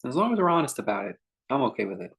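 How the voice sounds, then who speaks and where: A man guiding a meditation in an informal, conversational way, speaking in short phrases with unhurried pauses between them. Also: medium pitch, big pitch swings, gravelly, fairly bright tone. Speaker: meditation teacher leading a guided meditation. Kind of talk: unscripted guided meditation talk.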